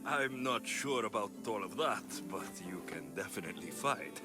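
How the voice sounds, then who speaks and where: A man's voice: lowish voice, lively intonation, moderate pace, natural voice. Blunt young mercenary hero, a man acting, over music. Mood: dry. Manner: terse, impatient, dry. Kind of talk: video game cutscene acting. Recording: music under the voice.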